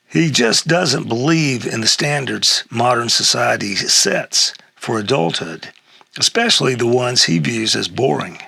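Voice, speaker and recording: An older man with an American accent and a gravelly, husky voice, reading a humorous personal essay aloud in a halting way. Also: medium pitch, pushed and bright, no echo. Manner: halting, with throat clearing and chuckles.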